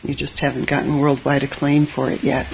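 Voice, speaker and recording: A soft-spoken Zen teacher giving an informal dharma talk to a live audience, hesitant and gentle, with frequent fillers and wry asides. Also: vocal fry. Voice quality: soft voice that tends to drop low. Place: meditation hall with a live audience. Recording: dull lo-fi audio with faint hiss.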